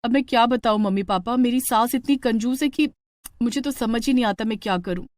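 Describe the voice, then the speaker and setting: A woman's voice: on the high side, brisk, a little mellow, no echo, close to an everyday voice. A woman voicing a young daughter-in-law in a story, polite, plaintive and bewildered. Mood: exasperated.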